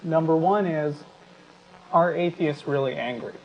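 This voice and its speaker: A man co-hosting an unscripted talk show, explaining his views in a measured, halting way. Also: on the high side. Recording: dull lo-fi sound with clear background noise.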